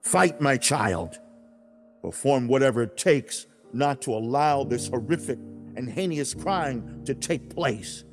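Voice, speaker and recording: A man performs God as a stern yet loving father, with music underneath. His pitch moves expressively as he preaches in an earnest, deliberate way. Dramatic pauses and firm emphasis give it the feel of a dramatized religious audiobook. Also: animated. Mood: pleading, indignant. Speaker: audiobook narrator.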